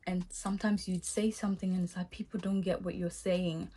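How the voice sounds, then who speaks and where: A woman's voice: on the low side, fairly quick, husky. A young woman from Ghana with a Ghanaian accent, casually telling a story to her vlog viewers and acting out her own reactions. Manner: animated, acts out her own past reactions with laughs. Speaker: vlogger.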